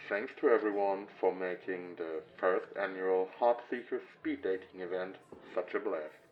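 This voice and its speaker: A man playing a courteous event announcer in an audio roleplay, polite and measured. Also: low-pitched, dull audio, faint music behind, natural voice. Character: courteous male announcer closing a speed dating event.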